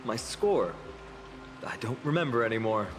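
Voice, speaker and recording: A man voicing an earnest, wistful young warrior lord in a video game, reflective and gently wry. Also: medium pitch, expressive, a little slow, lightly acted. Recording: long reverb, loud music behind.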